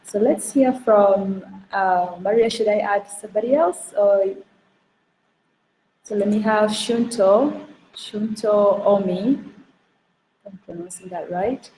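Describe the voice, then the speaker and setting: A woman from Tanzania moderates an online wrap-up over a video call, inviting speakers in with an encouraging, slightly halting manner. Her voice echoes in a roomy space with a faint hiss behind it. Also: mid-range voice, mellow. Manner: encouraging, hesitant with fillers. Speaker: session moderator.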